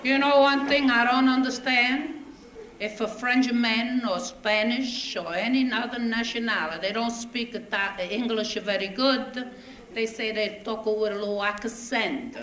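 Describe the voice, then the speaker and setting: A woman's voice: on the high side, fairly even intonation, measured pace, fairly bright tone. A woman comedian playing a chatty Italian immigrant woman with a thick accent and broken English, telling indignant stories. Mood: indignant comic. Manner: indignant, storytelling, quoting others. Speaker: stand-up comedian. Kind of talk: comic character monologue. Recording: echoey stage with audience noise. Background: audience laughter.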